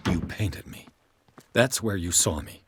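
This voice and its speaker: A man acting as a determined, gruff detective in a video game, with a terse, urgent, questioning manner. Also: low voice, a little slow, heavily acted. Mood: urgent, insistent. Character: gruff, determined male detective protagonist on a rescue.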